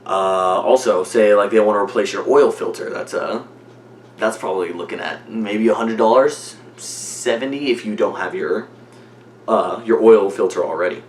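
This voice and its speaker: A man's voice: fairly low pitch, normal speed. A young man giving an informal persuasive speech as a student, in a conversational way. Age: young adult. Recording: echoey room, faint background noise.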